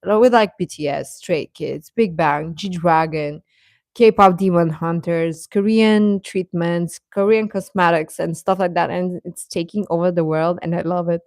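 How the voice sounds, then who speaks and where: A woman's voice: lowish voice, steady tone, creaky, darkish tone, no echo. Woman chatting casually in a close, dry recording. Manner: rambling, halting, often chuckling as she talks. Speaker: host of a K-pop fan channel. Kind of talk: casual livestream chat, replying to comments.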